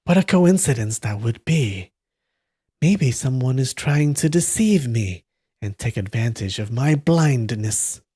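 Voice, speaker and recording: A man's voice: mid-range voice, expressive, measured pace, warm tone, husky. A man voicing a wary, blind martial-arts witch brooding to herself in a storybook. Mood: suspicious. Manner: brooding, suspicious inner monologue.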